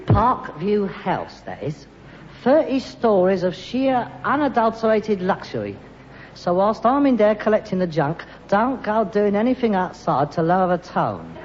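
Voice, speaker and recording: A man's voice: sits high, sing-song, measured pace, darkish tone. An actor playing a grumbling, pretentious London rag-and-bone man, an exasperated grown-up son, in a 1960s radio sitcom on a dull, noisy old recording. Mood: bossy, pompous. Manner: muttering, exasperated, putting on airs.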